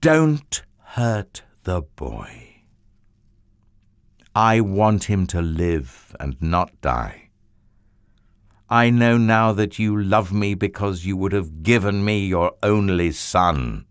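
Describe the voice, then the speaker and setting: Man as a commanding, slow, emphatic God. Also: low-pitched, very animated, clean tone, dry recording, clean studio sound, full character voice. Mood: commanding, urgent. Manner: emphatic, drawn-out delivery. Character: commanding, loving God speaking from heaven.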